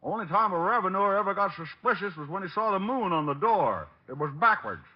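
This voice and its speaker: A cheerful, bumbling hog farmer with a thick Southern hillbilly drawl. The voice swoops up high and jumps about in old radio comedy style, on a muffled vintage recording. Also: male voice, big pitch swings, a little fast. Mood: bumbling, folksy. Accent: Southern US hillbilly, put on. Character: folksy, naive, cheerful male Kentucky hog farmer. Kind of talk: 1940s radio comedy acting.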